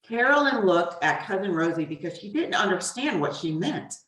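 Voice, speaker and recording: A woman reading a children's storybook as guest author, in a deliberate, expressive way with pauses. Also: medium pitch. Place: echoey room, away from the mic. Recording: roomy echo, distant mic.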